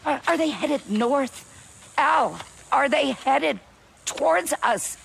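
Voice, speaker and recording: A male actor playing a hard-driving, short-tempered camp leader in a video game, commanding and impatient, with a gravelly creak. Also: very high-pitched, lively intonation, slow pace, a bit bright, a little hiss or hum, exaggerated voice. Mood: angry, impatient. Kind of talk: video game cutscene acting.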